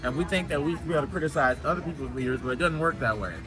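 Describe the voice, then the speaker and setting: A man's voice: fairly high pitch, racing, low-quality recording. A man from the United States, a guest and video creator, talking in a casual interview and addressing viewers directly. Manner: assertive, lectures the audience directly.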